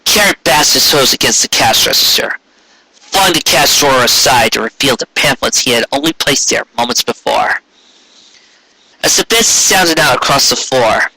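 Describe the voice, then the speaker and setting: A man's voice: medium pitch, bright, forceful tone, no room sound, low-quality recording. A man narrating a fan fiction story in a dramatic amateur reading style.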